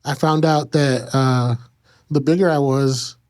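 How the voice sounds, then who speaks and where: A man in his thirties with an American accent shares his life story in a casual interview. His voice is clear and resonant and stays fairly level in pitch. He feels his way through sentences haltingly, pausing often on small fillers. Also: steady tone, darkish tone. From Kansas and Mexico. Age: 30s. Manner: halting, frequent "you know" pauses. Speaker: interview guest.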